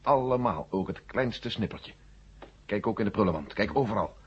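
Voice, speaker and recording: An actor playing a grave, commanding general in a science-fiction radio drama, speaking with insistent authority. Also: male voice, lowish voice, animated, moderate pace, slightly rough. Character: grave, commanding male general giving urgent orders. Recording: dull old radio drama recording.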